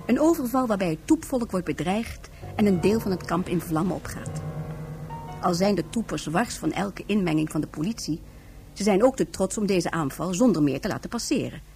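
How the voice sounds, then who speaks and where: A woman's voice: on the low side, animated, normal speed. Woman narrating a radio drama over music.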